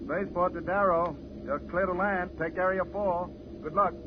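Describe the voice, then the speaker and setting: A man's voice: sits high, steady tone, normal speed. A man playing a businesslike spaceport controller over a radio link in a 1950s serial. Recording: noisy, tinny old radio recording.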